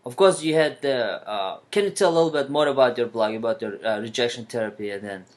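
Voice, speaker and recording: A man from Kyrgyzstan, a native Kyrgyz speaker, hosts an interview podcast. He asks his guest questions in a soft-spoken, slightly halting voice of middle pitch. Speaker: podcast host.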